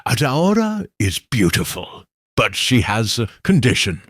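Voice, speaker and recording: Man voicing reluctant ex-boyfriend, lowered voice, husky, folktale. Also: huge pitch swings, a little slow, a bit bright, dry recording, clean studio sound, heavily acted.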